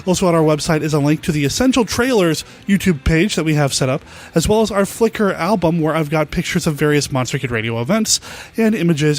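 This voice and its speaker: A man hosting a podcast, talking in a casual, conversational style. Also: on the high side. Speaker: podcast host. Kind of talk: solo show intro and casual interview chat.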